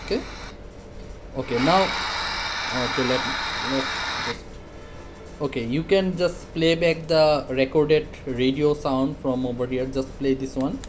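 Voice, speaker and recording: A man demonstrating a product casually, hesitant and halting, often repeating his words. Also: mid-range voice, lo-fi sound, background noise. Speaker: product reviewer.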